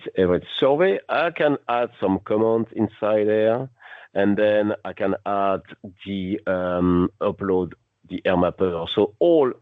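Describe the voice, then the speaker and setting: A man's voice: on the low side, dry recording. Non-native English-speaking man, tinny phone-like sound. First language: not English. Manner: instructional, step by step. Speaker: product demonstrator. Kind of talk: unscripted software demo walkthrough. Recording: narrow phone-like band.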